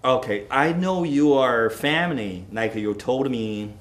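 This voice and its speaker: A middle-aged man hosts a TV studio interview in English, his native tongue being Chinese. His voice sits at an ordinary middle pitch. He pauses often with fillers while he builds his questions. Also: expressive. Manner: halting non-native English, many fillers. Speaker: TV talk show host. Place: TV studio set.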